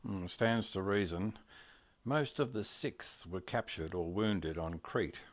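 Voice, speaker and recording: A man's voice: deep voice, slow. An older man playing a genial ageing uncle, a Great War veteran, in an amateur radio play.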